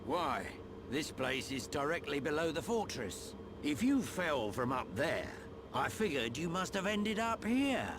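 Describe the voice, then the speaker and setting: A man's voice: on the high side, very animated, measured pace. An actor playing a cocky, casual male adventurer with a British accent in a video game cutscene, over background noise. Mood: wry, offhand.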